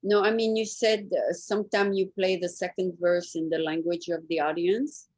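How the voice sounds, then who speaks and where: A woman's voice: on the low side, fairly even intonation, moderate pace, a little mellow. A woman hosting a casual interview, talking conversationally with her guest. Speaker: host, interviewer.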